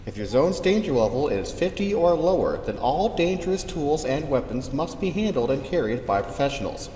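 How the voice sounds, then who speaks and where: A man's voice: a little high, big pitch swings, normal speed, mellow. A man playing a matter-of-fact, dryly wry official who formally and methodically reads out safety regulations in a radio drama. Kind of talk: scripted instructional narration. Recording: echoey reverberant sound, faint noise.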